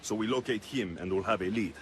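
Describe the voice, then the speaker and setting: A man's voice: lowish voice, fast talker, a little hiss or hum, natural voice. An actor playing a pragmatic young male mercenary with a terse, dry delivery in a video game. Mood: focused. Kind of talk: video game cutscene acting.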